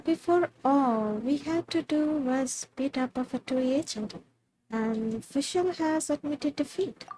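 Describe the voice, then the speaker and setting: A woman's voice: high-pitched, a little slow, dark tone, slight background noise, rough recording. A woman game streamer reading game text aloud as live commentary. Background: faint music.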